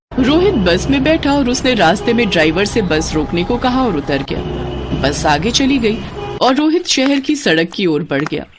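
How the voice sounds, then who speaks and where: A woman narrating a scripted children's story, on a noisy recording. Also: medium pitch. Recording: clearly noisy background.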